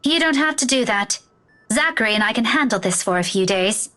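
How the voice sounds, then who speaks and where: A woman's voice: on the high side, animated, a little fast, sharp tone. An actress playing a caring, stubborn young woman schoolteacher in a video game, earnest and firm with her friends. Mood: insistent.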